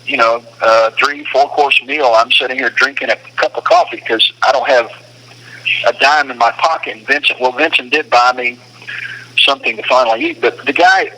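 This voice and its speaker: A man's voice: medium pitch, steady tone, normal speed, pushed and bright. A man talking casually and with strong opinions over a phone call, explaining his points with emphasis. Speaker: detainee speaking on a phone call from a detention facility. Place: phone call from a detention facility.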